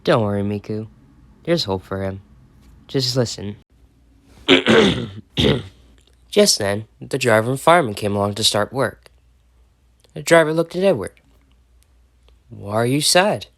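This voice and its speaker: A man's voice: fairly low pitch, very animated, a little slow, vocal fry, long pauses, fairly bright tone, dry recording. A man with an American accent reading a bedtime story and chatting about it as he goes. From United States. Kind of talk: storytelling and chat.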